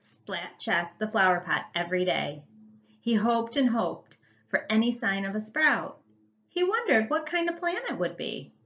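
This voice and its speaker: Female primary teacher for a children's read-aloud: unhurried, very expressive, emphatic, slightly lo-fi sound. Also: mid-range voice. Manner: animated, emphatic storytelling. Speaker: first grade teacher reading to pupils.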